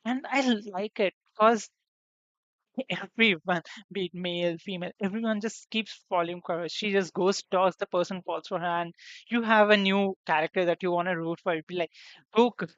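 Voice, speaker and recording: Chatty, slightly hoarse young woman streamer. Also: on the low side, steady tone, moderate pace, no echo. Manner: rambling, chatty, many fillers and restarts. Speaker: VTuber streamer. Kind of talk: casual debut stream chat. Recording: dull lo-fi sound, dry room.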